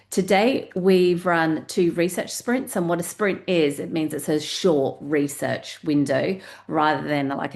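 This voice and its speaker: Low-voiced woman on a webinar panel. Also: creaky. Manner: measured, many fillers. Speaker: community research lead. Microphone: video call.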